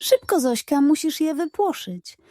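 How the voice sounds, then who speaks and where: Greedy storybook pug, acted by a woman, high-pitched, sly, whiny, dry close sound. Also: big pitch swings, unhurried, dark tone, no room sound. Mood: sly, urging. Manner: scheming, then moaning and pleading.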